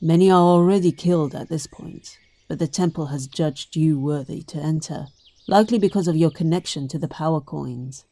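A woman playing a wise, solemn temple guardian in an audio drama, with a measured, grave and formal manner. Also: deep voice, mellow, recorded from a distance.

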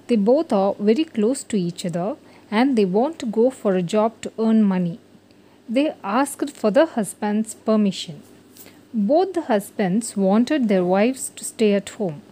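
A woman's voice: mid-range voice, dark tone, low-quality recording. A woman reading a scripted moral story for children as its narrator.

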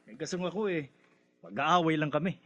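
A man's voice: a little high, expressive, a little fast, fairly bright tone, no room sound. A man playing a defensive, put-upon young adult son in a Filipino radio drama, with a protesting manner. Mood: resigned.

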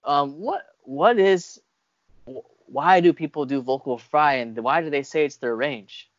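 A man's voice: on the high side, unhurried, no echo. A young adult American man hosting a casual interview over a video call. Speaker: host. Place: room at home, indoors. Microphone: earphone mic on video call. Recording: dull lo-fi video call audio.

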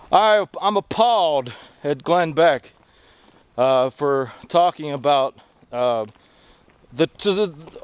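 A middle-aged American man speaking earnestly and haltingly as he walks outdoors, giving an opinionated vlog monologue into a handheld camera. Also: on the high side, clear, resonant tone, no echo. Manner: earnest, halting phrasing delivered while walking. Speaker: video blogger, activist. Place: outdoors on a wooded country road, walking. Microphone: handheld camera at arm's length.

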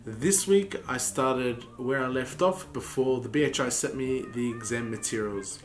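A young man with a stuffy, congested voice, explaining his hobby work to camera in a relaxed, casual vlog. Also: mid-range voice, unhurried, echoey room. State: congested from a cold. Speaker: hobby watchmaker vlogger. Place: home workshop, indoors.

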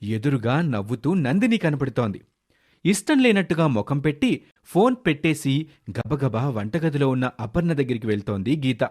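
A man with a mid-pitched voice narrates a moral story. He reads in a scripted storytelling style, as the narrator. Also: big pitch swings, normal speed, darkish tone.

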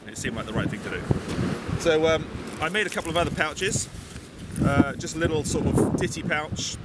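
A man in his forties, from England, chatting casually outdoors on a beach, relaxed with lots of ums and uhs. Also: on the high side. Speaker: bushcraft vlogger. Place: outdoors on a sunny beach, windy. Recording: loud wind and sea noise.

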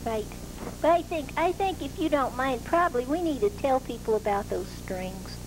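High, fussy puppet sidekick. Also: female voice, expressive, normal speed. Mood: fussy, chatty. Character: chatty hand puppet sidekick on children's show. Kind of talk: casual banter with the host. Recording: noisy 1980s TV broadcast tape.

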